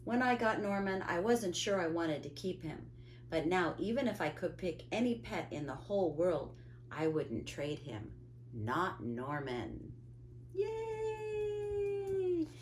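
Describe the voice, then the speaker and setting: A woman reading a picture book aloud to children with expressive, drawn-out emphasis and little asides to her listeners. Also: fairly low pitch, slight background noise. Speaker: storytime reader, teacher.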